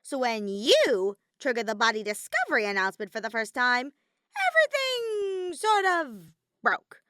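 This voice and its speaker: A woman's voice: on the high side, huge pitch swings, normal speed, bright, forceful tone, no room sound, clean studio sound, voice pushed up, heavily acted. A woman voicing a mischievous, flippant robotic bear villain in a dramatic audiobook reading, theatrical, grumbling and cartoonish. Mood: eager, exasperated. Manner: matter-of-fact, theatrical, grumbling.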